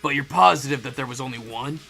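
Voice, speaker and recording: A man's voice: medium pitch, fast, creaky, bright, forceful tone, soft background music. A man voicing a puzzled, thoughtful teenage student detective in a game fan dub. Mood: pondering.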